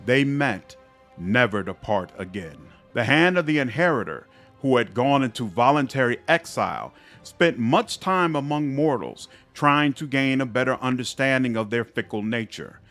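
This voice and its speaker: A man narrating fantasy game epilogues in a measured, expressive storybook style. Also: mid-range voice. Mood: solemn storytelling. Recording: music under the voice.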